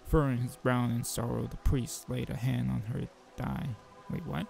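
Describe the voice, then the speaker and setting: A man with a gravelly voice reading a visual novel aloud as a game player, mixing narration with informal joking asides. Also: medium pitch, level tone, normal speed, darkish tone, faint music behind. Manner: informal, joking commentary between read passages.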